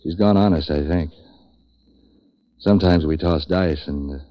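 Low-voiced, wry hard-boiled tough guy. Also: a little slow, heavy background noise. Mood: wry, narrating. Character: street-wise, hard-boiled male boat-for-hire troubleshooter, sardonic. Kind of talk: 1940s radio noir acting and narration. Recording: dull vintage 1940s radio recording.

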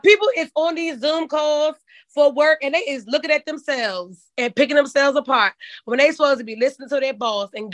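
Hoarse woman hosting a chat show. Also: fairly high pitch, fairly bright tone, no room sound. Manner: folksy and candid, says "child". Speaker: podcast host. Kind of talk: casual chat.

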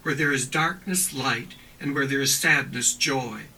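A man reciting a prayer as a priest would, in measured, separate phrases. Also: mid-range voice, sharp tone. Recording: slightly distant from the microphone.

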